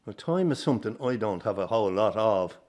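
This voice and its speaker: Grumpy low-voiced old Irish circus man , acted. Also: animated, a little fast, darkish tone, dull audio, natural voice. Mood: bitter. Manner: grumbling, blunt, sweary. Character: grumpy, bitter old circus father, gruff and stubborn. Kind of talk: radio drama acting.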